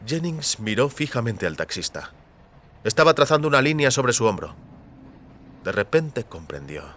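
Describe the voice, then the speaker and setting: Animated male storyteller narrating fiction. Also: mid-range voice, normal speed. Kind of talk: scripted audio fiction narration.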